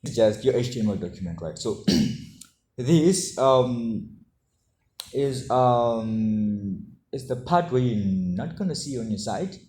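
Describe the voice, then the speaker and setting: A man giving an informal coding tutorial to camera, halting as he explains and often checking in with short tags. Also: medium pitch, expressive, warm tone. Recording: roomy echo, faint background noise.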